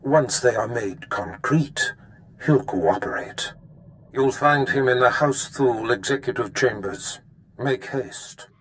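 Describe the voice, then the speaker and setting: An actor playing a commanding, ruthless Sith master in a video game, speaking in a measured, formal, imperious way. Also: male voice, medium pitch, fairly bright tone, a little hiss or hum. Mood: stern command. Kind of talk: video game cutscene acting.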